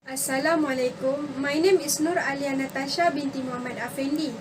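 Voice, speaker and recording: A woman in her twenties from Malaysia, reading a class presentation aloud in a steady way. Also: high-pitched, fairly quick. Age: 20s. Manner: steady, read-aloud delivery. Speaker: student presenter. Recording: distant mic with noise.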